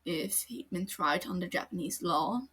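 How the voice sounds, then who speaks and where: A woman voicing a calm, detached adult male detective, quiet and matter-of-fact. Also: fairly low pitch, normal speed, slightly rough. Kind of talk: podfic character reading.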